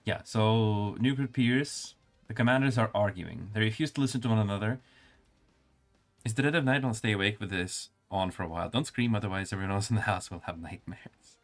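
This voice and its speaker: A man with a low, calm and soothing voice reads fantasy game lore aloud, and his aside comments are easy and relaxed. Soft music runs faintly under his voice. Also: brisk. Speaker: streamer. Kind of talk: reading game text aloud with casual commentary.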